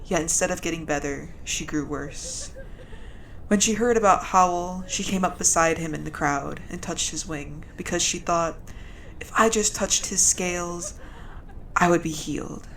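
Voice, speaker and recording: A woman acts out a grieving member of a noble fantasy house, speaking at a funeral in an audio drama. The voice is on the low side and very slow, solemn and measured. A faint rumbling noise sits in the recording. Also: a bit bright, rough recording. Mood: solemn, reverent. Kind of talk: audio drama eulogy with solemn scripture-like reading.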